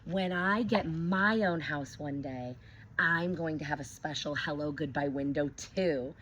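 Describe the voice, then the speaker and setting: A woman teacher reading a picture book aloud to young children, expressive, stopping to ask them questions. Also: sits low, unhurried, muffled recording, slight background noise.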